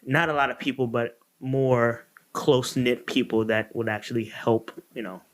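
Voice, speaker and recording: A man's voice: mid-range voice, moderate pace. Ugandan-born man telling his story. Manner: animated, laughing, acts out quoted voices. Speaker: guest radiologist. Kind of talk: casual storytelling interview.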